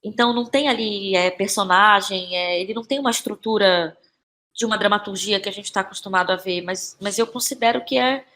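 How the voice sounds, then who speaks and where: Woman, Brazilian Portuguese accent, medium pitch, conversational, reflective, halting phrasing, repeated words, frequent tag questions. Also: bright, forceful tone. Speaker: playwright and actress, podcast guest. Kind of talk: casual, reflective interview talk.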